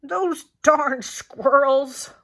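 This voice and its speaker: A woman voicing a grumpy, exasperated old man in a storybook, with drawn-out, emphatic yelling. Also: high voice, pitched above the performer's own voice, full character voice.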